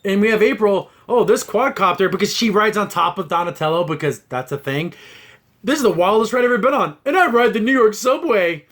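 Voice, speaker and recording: A man with a high-pitched voice hosts a casual review podcast. He complains with exasperation and mocks what he is discussing in a conversational way. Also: expressive, brisk, fairly bright tone. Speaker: podcast host.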